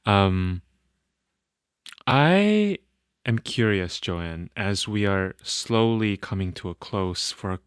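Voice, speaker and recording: A man hosting an interview podcast, talking softly and affirming his guest often. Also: on the low side, lively intonation, slow pace, smooth, no echo, studio quality. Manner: soft, affirming, lots of mm-hmms. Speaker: podcast host. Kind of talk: interview conversation.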